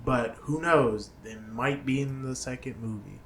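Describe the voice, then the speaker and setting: Slow, laid-back man chatting. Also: medium pitch, a bit bright, slight background noise. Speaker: podcast co-host. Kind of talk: casual chat reviewing a movie.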